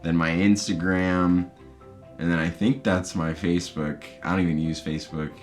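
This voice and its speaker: A twenty-year-old man talks through questions about his own year in an easy, candid way, poking fun at himself. His voice sits low. Soft music plays faintly underneath. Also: fairly even intonation, moderate pace. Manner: candid, chatty, self-deprecating. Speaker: vlogger answering yearly self-interview questions. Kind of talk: casual self-interview.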